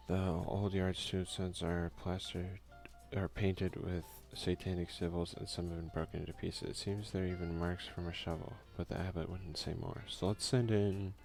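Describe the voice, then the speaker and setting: A man doing a soft-spoken, unhurried ASMR game playthrough, reading text aloud with casual asides. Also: low voice, gravelly. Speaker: let's play streamer.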